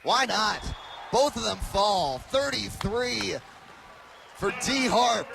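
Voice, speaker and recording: A man in his early twenties doing live radio basketball play-by-play, with an emphatic, excited delivery that rises to shouts. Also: high-pitched. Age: early 20s. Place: courtside in a large arena.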